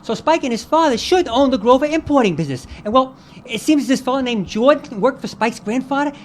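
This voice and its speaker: A high-pitched, chatty newsboy played by a woman in a radio play. He confides gossip in rambling, earnest street slang. The live stage recording carries some light noise. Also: expressive, brisk, a little mellow. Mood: confiding, indignant. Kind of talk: old-time radio acting. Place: live performance in a hall.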